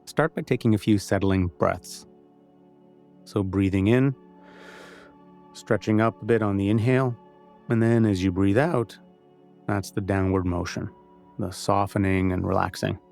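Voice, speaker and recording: A man guides a meditation in a low voice with a noticeable creak. He gives gentle, conversational instructions and leaves pauses between them. Music plays behind him.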